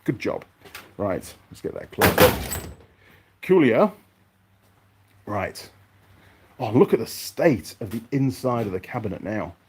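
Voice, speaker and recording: A man's voice: mid-range voice, sing-song, a little slow, pauses often, slight background noise. A man chatting casually and unscripted to his viewers as a mechanic vlogger, rambling along and thinking aloud in his workshop.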